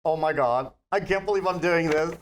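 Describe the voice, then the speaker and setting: A man as a high-pitched flamboyant paramedic diva. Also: normal speed, lightly acted. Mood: giddy, starstruck. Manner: campy, theatrical. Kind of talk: live table read of comedy script.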